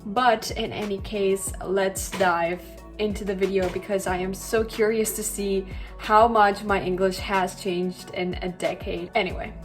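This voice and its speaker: A woman in her twenties with a Colombian accent talks casually to her camera, her first language Spanish showing through. She fills her speech with likes and you-knows at an everyday mid pitch. Soft music plays faintly behind her. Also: fairly even intonation, measured pace. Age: 20s. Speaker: language vlogger.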